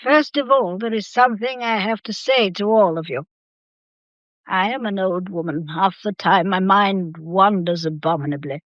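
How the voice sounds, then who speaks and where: An imperious elderly matriarch played by a woman in a period radio drama. She speaks in a measured, formal way, weary yet shrewd and coolly composed. The sound is dry and a little dull. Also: medium pitch, no echo, close to an everyday voice. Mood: weary, commanding. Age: older.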